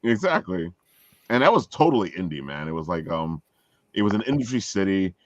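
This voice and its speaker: Animated man hosting, slightly dull sound. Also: low voice, brisk, no room sound. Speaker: show host. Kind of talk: casual group chat.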